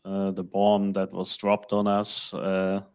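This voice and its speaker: Male finance commentator, low smooth voice, casual but halting delivery. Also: completely flat delivery. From Switzerland.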